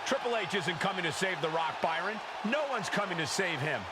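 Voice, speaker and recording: Very high-pitched male wrestling announcer, fervent. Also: animated, a little fast. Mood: grave, dramatic. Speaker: wrestling commentator. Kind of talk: dramatic sports commentary. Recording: very loud arena noise behind.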